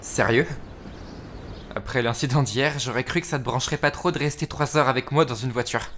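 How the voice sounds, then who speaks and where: A man's voice: medium pitch, fairly quick, fairly bright tone, slight background noise, lightly acted. A man playing an insolent, bitter seventeen-year-old son defying his mother in a fan-dubbed TV drama, casual, sarcastic and confrontational. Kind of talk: amateur fan dub of TV drama. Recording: music under the voice. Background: music.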